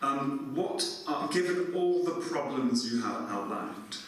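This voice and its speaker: A man's voice: medium pitch, lively intonation, slow pace. Courteous man calling out from an echoey hall. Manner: formal and courteous, speaks loudly without the mic. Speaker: audience member asking a question. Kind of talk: audience question at a panel. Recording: echoey hall from far off, faint noise.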